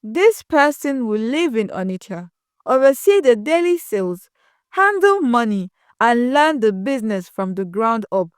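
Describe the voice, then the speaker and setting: A woman voicing a respected old male village elder in a moral story, with a measured, weighty manner. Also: a little high, sing-song, clear, resonant tone, dry recording, studio quality. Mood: grave, measured.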